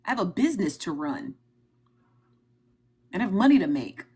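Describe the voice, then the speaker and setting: A woman's voice: mid-range voice, sing-song, normal speed, darkish tone, husky. A woman with a Midwestern accent hosting a live stream, talking emphatically and directly to her viewers and repeating her points. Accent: Midwestern American. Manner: emphatic, repetitive, talks straight to her viewers. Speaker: livestream host. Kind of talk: casual livestream commentary.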